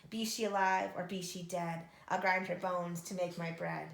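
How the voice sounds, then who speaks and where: Woman acting monstrous male giant, storybook, menacing, loud, emphatic chant, roomy echo. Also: fairly low pitch, little pitch movement, normal speed, gravelly, voice pushed down. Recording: echoey room.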